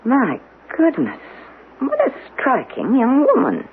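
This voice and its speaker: An anxious, sceptical niece in a radio mystery. She speaks formally and with feeling, her pitch swinging widely, on a narrow, faintly noisy broadcast recording. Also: wildly swooping pitch, takes it slowly, heavily acted. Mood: shocked. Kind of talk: radio drama acting.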